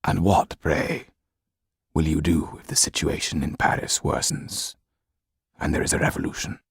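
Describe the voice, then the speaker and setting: A man voicing a formal French lawyer in an audiobook, measured and firm, with dry irony and quiet disapproval. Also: rumbling low, creaky, husky, dry recording, exaggerated voice. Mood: probing.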